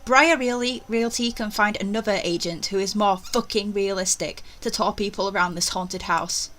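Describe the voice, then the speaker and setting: A woman's voice: medium pitch, normal speed, fairly bright tone, lightly acted. A woman voicing an anxious, superstitious young estate agent in a horror visual novel, with a dramatic, uneasy manner. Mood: exasperated, scared. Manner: dramatic, uneasy inner monologue. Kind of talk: visual novel voice-over reading.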